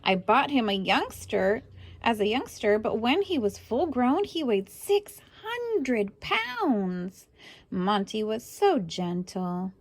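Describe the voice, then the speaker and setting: A woman's voice: fairly high pitch, sing-song, slow, no room sound. A woman reading a children's book aloud expressively, adding chatty asides to young listeners. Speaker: storybook reader.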